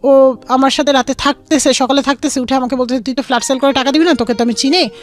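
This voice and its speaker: Young Bangladeshi woman as interview guest, very quick personal storytelling with quoted dialogue, soft music underneath. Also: high voice, steady tone. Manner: mixes in English words. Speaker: guest telling her life story.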